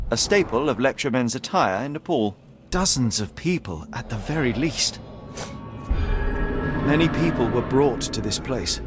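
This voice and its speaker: A man's voice: mid-range voice, close to an everyday voice. Male actor as shrewd game detective, formal, precise, commanding, widely varied intonation, music underneath. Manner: formal, precise, deductive delivery. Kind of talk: dramatic video game voice acting.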